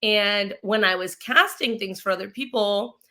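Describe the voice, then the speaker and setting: Female guest from Mexico, bright forward voice, medium pitch, informal conversational storyteller. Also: a little slow. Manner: chatty, self-quoting, informal. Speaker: interview guest, actress and film producer.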